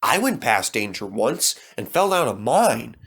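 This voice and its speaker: A man's voice: medium pitch, very animated, unhurried, gravelly, a bit bright, slightly rough, heavily acted. A man voicing a sensible tank engine, earnest and matter-of-fact. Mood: earnest warning.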